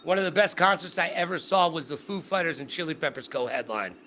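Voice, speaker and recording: A high, bright male voice, the host of a casual interview, upbeat and joking in his questions. A faint hiss sits under it. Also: animated, fast talker. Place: Las Vegas.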